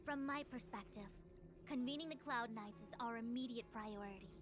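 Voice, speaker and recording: Actress as a high-voiced, formal commanding woman strategist. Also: normal speed, natural voice. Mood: urgent, resolute. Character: brisk, serious female diviner, a high-ranking strategist. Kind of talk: scripted video game voice acting. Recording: background music under the voice.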